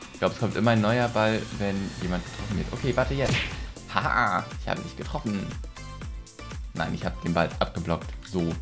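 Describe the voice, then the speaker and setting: A man in his twenties giving casual, self-deprecating let's play commentary, thinking aloud into a close desk microphone. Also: medium pitch. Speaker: gaming video host. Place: small room at home, indoors. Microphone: desk studio microphone, close.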